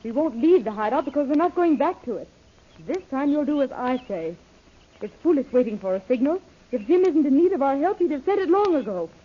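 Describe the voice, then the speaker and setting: A woman's voice: high-pitched, animated, fairly quick. An actress playing a headstrong young woman in a jungle adventure radio serial, dramatic and insistent. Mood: determined. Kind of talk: old-time radio serial acting. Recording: dull lo-fi old radio recording.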